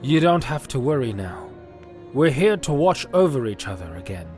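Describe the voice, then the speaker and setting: A man voicing a thoughtful male knight leader who speaks in a measured, reassuring way. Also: medium pitch, very animated, a little mellow, soft background music, pitched above the performer's own voice, lightly acted.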